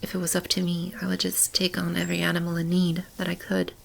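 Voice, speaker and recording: A woman plays a nurturing female keeper of a magical animal shelter in a soothing ASMR roleplay. Her voice is slightly low and crackles heavily with fry as she talks softly to a child. There is faint background noise behind her. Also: completely flat delivery, quick pace. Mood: calm, wistful. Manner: soft, soothing, chuckling, speaking to a child.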